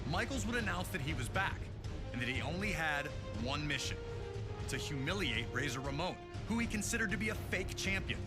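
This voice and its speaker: A man narrating a scripted sports documentary with dramatic, announcer-like storytelling. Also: high voice. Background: music.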